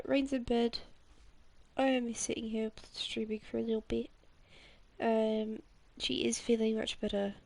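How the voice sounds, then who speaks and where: A woman streamer with the sniffles, talking to her viewers in a halting, hesitant way full of pauses. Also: a little high, creaky, slightly rough. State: stuffy, has the sniffles. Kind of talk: casual live-stream chat.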